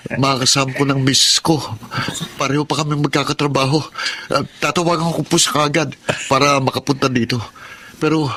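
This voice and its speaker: A man's voice: medium pitch, flat delivery, a little fast, pushed and bright, slight background noise. A man playing an earnest, respectful young married worker in a Filipino drama, speaking hesitantly and politely with a Filipino accent. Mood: grateful. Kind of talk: Tagalog radio-style drama acting.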